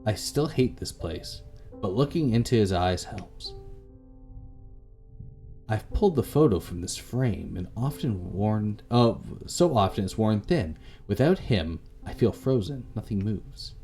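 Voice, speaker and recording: A man with a fairly low voice narrates a visual novel for a let's play. His delivery is dramatic and very lively in intonation. Faint game music plays behind him. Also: a little slow. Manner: dramatic, rereads stumbled lines. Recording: music playing under the voice.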